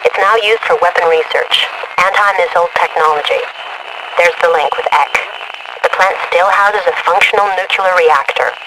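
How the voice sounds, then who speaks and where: An actress voices a composed female mission controller in a military video game. She briefs operatives in a precise, businesslike way, with loud vehicle noise behind her. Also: on the low side, normal speed, close to an everyday voice.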